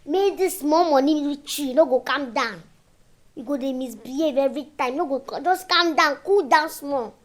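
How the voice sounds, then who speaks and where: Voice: high-pitched, moderate pace, natural voice. An actor playing a bossy, scornful young person with a Nigerian accent, giving orders in a drama. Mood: scornful scolding. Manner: scornful, commanding. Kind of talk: acted Nigerian Pidgin comedy-drama dialogue.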